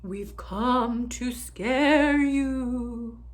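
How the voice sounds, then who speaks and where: A woman voicing a would-be scary pumpkin-headed scarecrow in a storybook, loud and drawn-out. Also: a little high, pitched above the performer's own voice. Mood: menacing. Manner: loud, drawn-out, spooky declaiming. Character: scary pumpkin head scarecrow, would-be frightener, easily deflated.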